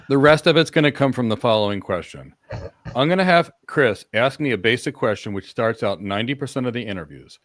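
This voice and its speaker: A man hosting a live-stream career talk, acting out mock job interview answers in a lively, demonstrative way. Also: mid-range voice, no room sound, clean studio sound. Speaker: host.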